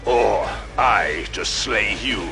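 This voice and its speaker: Stern, gravelly knight commander. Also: male voice, lowish voice, lively intonation, extremely slow, over music, exaggerated voice. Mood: cold defiance. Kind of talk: audio drama acting.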